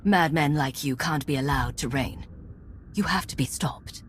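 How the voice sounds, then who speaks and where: A stern secret agent commander in a sci-fi game. Her low voice is curt and commanding, set against clearly noisy game ambience. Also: measured pace. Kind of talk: video game dialogue acting.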